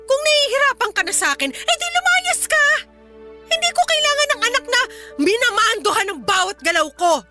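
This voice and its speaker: A woman's voice: very high voice, sing-song, fairly quick, bright, forceful tone, heavily acted. A woman playing a defensive, hot-tempered middle-aged mother, scolding stubbornly in a Tagalog radio drama. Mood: hurt, angry. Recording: music underneath.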